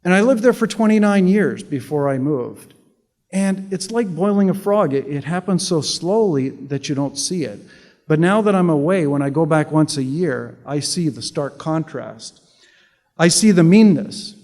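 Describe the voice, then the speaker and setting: A man's voice: a little high, animated, moderate pace, darkish tone, long reverb tail. A Canadian-born man giving a sarcastic, conversational political speech to an audience, often restarting with repeated words. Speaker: public speaker.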